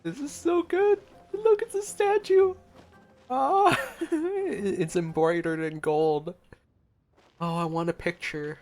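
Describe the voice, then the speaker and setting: A man's voice: high voice, slow pace, darkish tone, faint music behind. Man giving casual gameplay reactions. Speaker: gaming video host. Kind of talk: casual playthrough reaction commentary.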